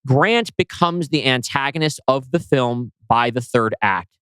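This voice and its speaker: A man's voice: mid-range voice, animated, a little slow, fairly bright tone, clear, resonant tone, no echo. Dry-sounding man chatting casually about writing. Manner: explanatory, poses rhetorical questions, swears casually. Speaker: podcast co-host and scriptwriter.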